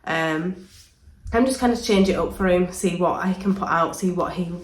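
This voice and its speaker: Mum vlogging with a cold, rambly with lots of ums, echoey room. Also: female voice, on the low side, normal speed. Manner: chatty, rambling with frequent ums. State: full of cold, sore throat. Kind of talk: casual home vlog. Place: indoors, home playroom.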